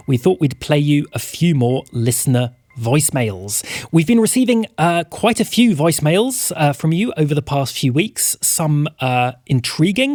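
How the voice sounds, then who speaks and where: Animated, higher-pitched male podcast presenter. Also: dry recording. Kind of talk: scripted announcements and credits. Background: music.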